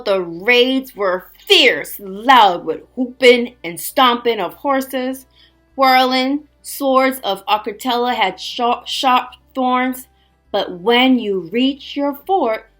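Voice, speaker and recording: A woman teacher reading a storybook aloud to children, expressive and emphatic, with little chatty asides. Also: on the high side, extremely slow, soft background music.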